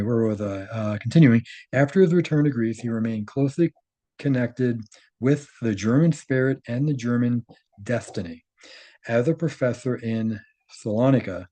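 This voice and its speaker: A man with a dark, relaxed voice at an average pitch reads written prose aloud. He mixes the reading with informal asides, sometimes halting to correct himself or check that the point is clear. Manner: halting, self-correcting, often checks with "right?". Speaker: host reading and commenting on a translated article.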